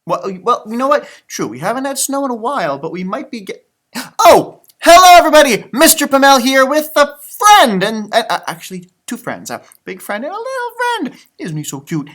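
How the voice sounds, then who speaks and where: A man's voice: extremely high pitch, sing-song, normal speed, a bit bright. A man reading a storybook aloud to children, chatting in exaggerated teacherly asides and repeating phrases for young listeners.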